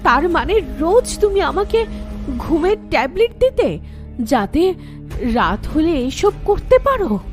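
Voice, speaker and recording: A woman voicing a young wife who tells her own horror story in the first person, in a slow, tense manner, for an animated ghost story. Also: extremely high pitch, soft background music, heavily acted. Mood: frightened.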